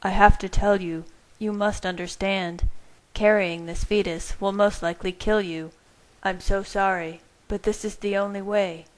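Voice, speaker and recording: A woman voicing a compassionate older male doctor who speaks gently but firmly, with sorrow. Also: mid-range voice, steady tone, unhurried, natural voice, poor audio. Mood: gentle.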